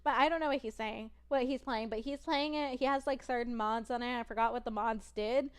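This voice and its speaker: A young woman chatting on her stream in a rambling, giggly way, her voice high and smooth. It is naturally soft and a little tired from long hours of talking, and she keeps things casual and loose. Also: steady tone, a little fast. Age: young adult.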